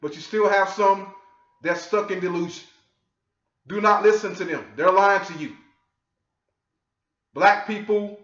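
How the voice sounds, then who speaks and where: Scolding man on echoey lo-fi audio. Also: high-pitched. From United States. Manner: forceful, scolding, repeats "okay?". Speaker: online commentator. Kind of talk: lecturing monologue.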